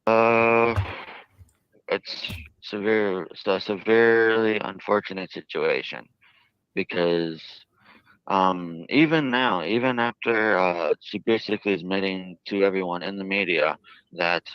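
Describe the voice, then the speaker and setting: Very deep, smooth, halting man, muffled audio. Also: no room sound. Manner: halting, earnest, wordy, repeating himself. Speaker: jailed interviewee. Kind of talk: unscripted interview answers. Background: played within a live stream.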